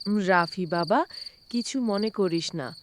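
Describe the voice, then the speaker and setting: A woman voicing a caring but scolding middle-aged ghost aunt in an animated horror story. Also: medium pitch, takes it slowly, noisy background, natural voice. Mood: gentle, fussing.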